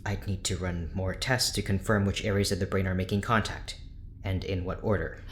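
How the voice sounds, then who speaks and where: Guy playing tired reserved doctor, real low pitch, hesitant clinical talk, some ambient noise. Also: fairly even intonation, brisk, fairly bright tone. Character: reserved, weary, uneasy research doctor. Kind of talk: audio drama acting.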